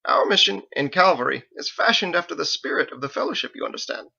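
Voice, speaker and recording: A man's voice: on the high side, sing-song, fast talker, creaky, bright, forceful tone, slightly rough, muffled recording, voice pushed up, heavily acted. A man voicing a pompous, verbose, prim young paladin churchman in an audiobook, with florid, fussy, over-formal diction. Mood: humbly proud. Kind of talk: audiobook character voice.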